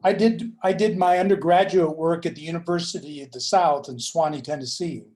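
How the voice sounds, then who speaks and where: A man from California chatting casually at a meeting, telling a story with laughs and halting fillers. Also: fairly high pitch, darkish tone. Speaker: meeting participant. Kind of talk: casual chat.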